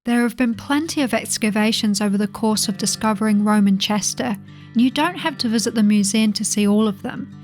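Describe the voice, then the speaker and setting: A British woman leads a scripted history tour. Her voice is on the high side, and light guitar music runs quietly beneath it. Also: a little fast.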